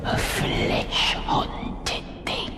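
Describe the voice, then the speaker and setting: A woman voicing an ancient, commanding forest spirit in a video game, speaking in drawn-out incantations that often sink to a whisper. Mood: ominous, commanding. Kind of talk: slow solemn video game cutscene acting. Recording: loud music behind.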